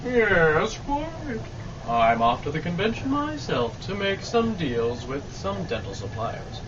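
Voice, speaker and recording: A man's voice: high-pitched, wildly swooping pitch, slow, full character voice. A man playing a hearty, self-important tycoon in an old-time radio comedy. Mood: hearty, scheming. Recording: muffled, distant live recording, background noise.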